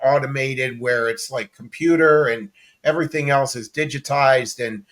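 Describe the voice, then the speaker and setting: A man's voice: medium pitch, normal speed, a bit bright. An American man hosting a casual, conversational interview. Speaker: talk show host interviewer.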